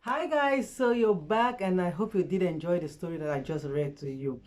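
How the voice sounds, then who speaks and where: A woman giving relationship advice in a casual, earnest vlog chat, her voice hoarse from a cold. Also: fairly low pitch, moderate pace, a little mellow. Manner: chatty, earnest, frequent fillers. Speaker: relationship vlogger. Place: at home late at night.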